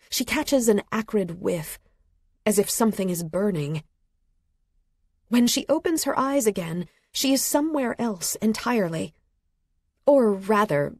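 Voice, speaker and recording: A woman reading literary fiction as an audiobook narrator, with a measured, expressive delivery and a slightly husky voice. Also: medium pitch, no echo, clean studio sound. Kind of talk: scripted literary fiction narration, present tense. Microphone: close studio microphone.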